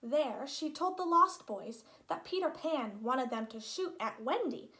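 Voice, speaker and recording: A woman reading a children's story aloud as an expressive narrator. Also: high-pitched, unhurried. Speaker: storybook reader.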